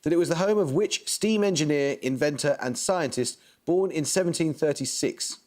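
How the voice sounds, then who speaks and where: A man hosting a television quiz, reading out questions and rulings in a brisk, formal, precise manner. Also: on the high side, crowd noise. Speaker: quizmaster.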